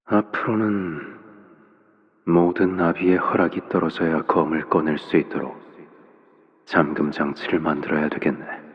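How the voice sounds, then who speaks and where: A man voicing a soft-spoken, menacing father figure of a crime syndicate in a video game, with gentle parental phrasing. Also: flat delivery, a little slow. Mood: calm, veiled menace. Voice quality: very deep, low voice. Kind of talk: scripted video game voice acting. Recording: long reverb, dull muffled sound.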